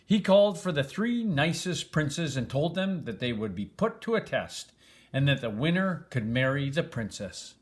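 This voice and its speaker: An older man reading a children's storybook aloud in an expressive, grandfatherly way, stressing and stretching words. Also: a little high, normal speed.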